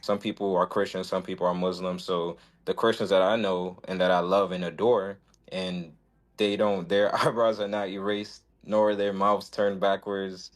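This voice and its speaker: A man in an informal religious debate, speaking defensively and haltingly as he explains his position. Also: low voice, little pitch movement. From West Africa, Sierra Leone and Senegal background. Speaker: debate participant.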